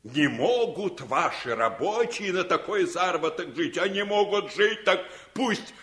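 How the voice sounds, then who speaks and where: Blustering, high-voiced arrogant factory boss. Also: male voice, animated, measured pace, a bit bright, echoey room. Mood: scornful. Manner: blustering, sneering, scornful. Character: arrogant, greedy male factory owner, contemptuous and blustering. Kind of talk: Soviet radio play acting.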